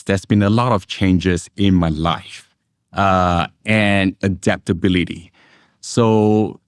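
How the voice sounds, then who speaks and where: Middle-aged man, low pitch, measured explanatory delivery, reflective interview guest, dry sound without room echo. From Indonesia and Singapore. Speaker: veteran tech investment analyst, interview guest. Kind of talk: reflective interview answers with personal examples.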